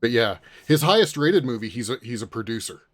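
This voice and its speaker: A man hosting a panel podcast in a casual, conversational way, often pausing to find his words. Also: mid-range voice, animated. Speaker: podcast host leading a panel.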